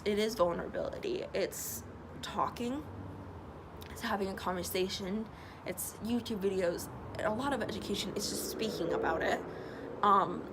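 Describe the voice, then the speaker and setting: A young woman with a stuffy nose talks earnestly through a casual vlog, filling pauses with ums. Her voice carries a gravelly fry. She records outdoors, and clear traffic noise runs behind her. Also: mid-range voice. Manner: chatty, earnest, many fillers. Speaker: vlogger. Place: outdoors by a loud road. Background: passing traffic.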